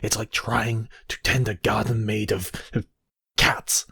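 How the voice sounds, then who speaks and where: A coldly possessive high mage villain, acted by a man for an ASMR fantasy roleplay. His voice is low and husky, with a slow, deliberate delivery. He speaks imperiously, like one used to being obeyed. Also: flat delivery, pushed and bright, no echo, full character voice. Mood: exasperated. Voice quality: breathy, husky, often dropping to whispers. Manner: imperious, deliberate, addressing listener as apprentice. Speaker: voice actor.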